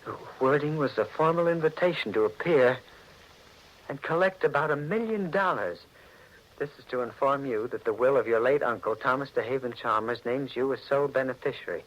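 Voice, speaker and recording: An actor playing an anxious, scheming husband who confesses to murder in a melodramatic 1940s radio mystery. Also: male voice, mid-range voice, a little fast, highs cut off, natural voice. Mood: formal, stunned. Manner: melodramatic, intense. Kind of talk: 1940s radio mystery acting, first-person narration. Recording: noisy old 1940s radio recording.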